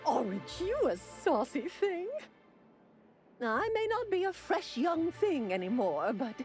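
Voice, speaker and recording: A sweet-talking older woman behind a pill counter, all teasing charm and quiet scheming. Her voice sits high and swoops through a lively lilt. Faint music hums under the game audio. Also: slow pace, exaggerated voice. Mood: coy, gossipy. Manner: syrupy, teasing, calls everyone dearie. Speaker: dispensary clerk. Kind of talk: video game voice acting.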